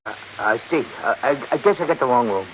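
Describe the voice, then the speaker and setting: A man's voice: mid-range voice, expressive, a little fast, natural voice. An actor playing a shifty, flustered male stranger in a 1950s radio drama, stammering and repeating himself. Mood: nervous, evasive. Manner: stammering, hesitant, repetitive. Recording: muffled, noisy 1950s radio recording.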